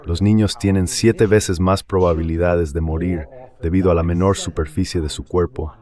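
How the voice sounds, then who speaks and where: A man reading a scripted news report in a formal, measured manner. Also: low-pitched, fairly even intonation, a little mellow.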